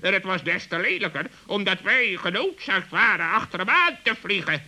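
A high, piercingly bright animal character in a children's radio play. He is haughty and brusque, with swooping, pompous delivery. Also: very animated, normal speed, heavily acted. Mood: reproachful. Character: brusque, haughty male animal companion of a gnome.

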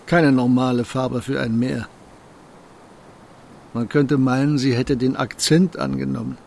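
An actor playing a wise, gentle old shopkeeper in a radio play, speaking in calm, unhurried aphorisms, with a gravelly voice. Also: male voice, medium pitch, slight background noise. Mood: gently philosophical. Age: older.

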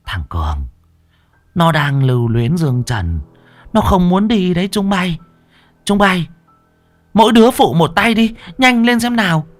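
A man voicing a bossy, superstitious old village woman who scolds and shouts urgent orders in a horror story. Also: high voice, sing-song, measured pace, long pauses, faint music behind, pitched above the performer's own voice.

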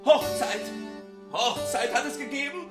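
A woman's voice: high-pitched, lively intonation, unhurried. A woman playing a clownish, melodramatically lamenting male servant in a staged comedy within a radio reading. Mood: exclaiming. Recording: roomy sound with plucked guitar music.

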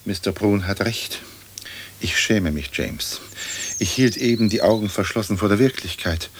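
A man's voice: fairly low pitch, normal speed, a little hiss or hum, natural voice. Pompous ghostly old lord played by a man. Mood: stately, uneasy. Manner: pompous, ornate, formal diction. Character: ghost of an aristocratic old English lord, dignified, ironic. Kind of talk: radio drama acting.

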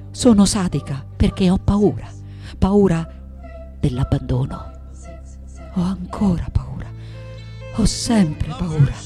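An actress playing an elderly, defiant, vengeful woman sculptor. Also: fairly low pitch. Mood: fearful, anguished. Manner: intense, theatrical, swinging from hushed to loud. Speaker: actress in a radio play. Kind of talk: dramatic radio theatre monologue.

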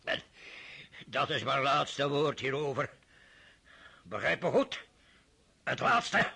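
An actor playing a stern, mocking older aristocratic father in a radio drama, with a husky voice and scornful laughs. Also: medium pitch, big pitch swings, takes it slowly, pushed and bright, full character voice.

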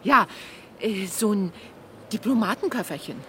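A woman's voice: medium pitch, lively intonation, unhurried, pushed and bright, a little hiss or hum. An actress playing a chatty, observant kiosk woman and housewife giving matter-of-fact testimony in a German radio crime drama.

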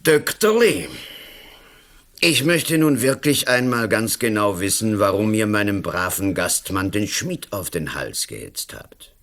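A man's voice: fairly low pitch, sing-song, a little slow, a bit bright, exaggerated voice. Swooping, pompous male politician. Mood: blustering. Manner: pompous, blustering, condescending. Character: overbearing, smug male lawyer and politician, a colonel. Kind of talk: radio play acting.

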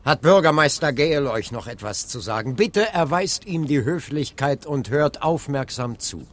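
An actor playing an earnest, gentle young preacher and husband in a radio drama, speaking deliberately. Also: male voice, on the high side, lively intonation, natural voice. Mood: earnest appeal.

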